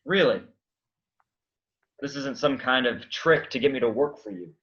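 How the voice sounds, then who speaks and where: A man's voice: medium pitch, animated, measured pace, fairly bright tone, dull audio. An actor playing a weary, brooding, sardonic man in a staged play reading. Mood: wary, dry.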